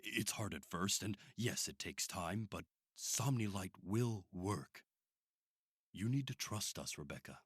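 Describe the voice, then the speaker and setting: A man playing a calm, persuasive therapist in a radio drama, reassuring with a condescending edge. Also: deep voice, unhurried, husky, no room sound, studio quality, heavily acted. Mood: persuasive, paternal. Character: calm, persuasive male therapist at sinister institute. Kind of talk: modern radio drama acting.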